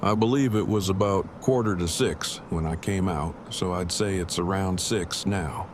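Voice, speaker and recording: An actor playing Eugene, a verbose, bookish and affectionate apocalypse survivor, speaking formally and precisely in an audio drama. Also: male voice, low voice, moderate pace, natural voice. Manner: formal, wordy, precise diction. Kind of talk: fan audio drama acting. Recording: clearly noisy, with ambience behind.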